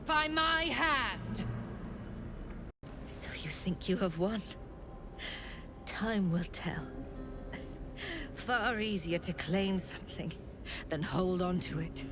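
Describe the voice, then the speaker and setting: A woman playing a vengeful, contemptuous cult leader in a fantasy game, with a menacing, drawn-out, disdainful delivery. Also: medium pitch, animated, music in the background. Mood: cold defiance, then bitter warning. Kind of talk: dramatic fantasy game acting.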